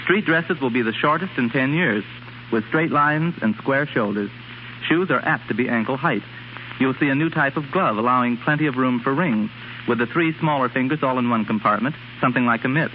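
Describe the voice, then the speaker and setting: Man reading a scripted 30s radio guest talk, medium pitch, dull old recording w a bit of hiss. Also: a little fast. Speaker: fashion designer guest. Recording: hissy muffled 1930s radio recording.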